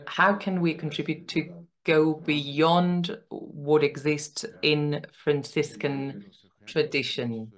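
A man from Sweden, commenting from the floor of a panel discussion in a conference hall, deliberate and a bit provocative. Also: on the high side, steady tone, a bit bright. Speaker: audience member. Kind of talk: question from the floor.

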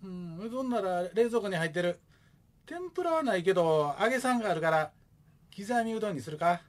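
Gruff widowed father, male actor, Kansai accent, blunt joker, lively intonation, comic radio play. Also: high-pitched, brisk. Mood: easygoing.

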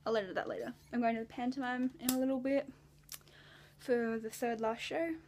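A woman's voice: on the high side, fairly even intonation, fairly quick, a little mellow. A young adult woman with an Australian accent, chatting casually and playfully into a handheld phone as a video diary. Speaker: vlogger. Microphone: phone at arm's length.

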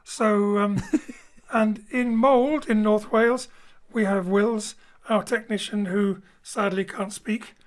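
A man's voice: high voice, fairly even intonation, slow. A man introduces a show as its director and host. He speaks informally, as if to listeners at home, into a home studio microphone. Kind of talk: informal spoken introduction to a live-style show. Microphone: home studio microphone over internet link.

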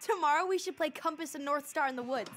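A woman's voice: high-pitched, quick pace, loud music behind the voice, natural voice. A woman playing a spirited, outspoken young child in a video game, with a candid, childlike way of talking. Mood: playful. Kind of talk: naturalistic video game acting. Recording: clear background noise and ambience.

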